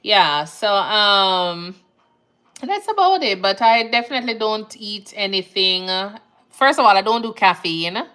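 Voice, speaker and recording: A woman's voice: medium pitch, a little slow, clean tone. A woman from Jamaica with a Jamaican accent, chatting casually on a livestream and reading out viewer comments. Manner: relaxed, chatty, laughs easily, Jamaican phrasing. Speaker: nurse livestream host.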